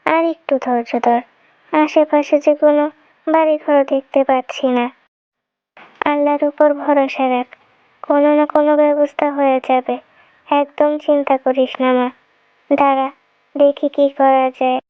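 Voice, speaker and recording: Woman acting a cartoon mother fox, gentle, imploring, high voice, close dry sound, faint music. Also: flat delivery, normal speed, pauses often, no room sound, phone-quality sound, natural voice. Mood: soothing. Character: gentle widowed mother fox, humble, patient, soothing. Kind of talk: children's cartoon story dialogue.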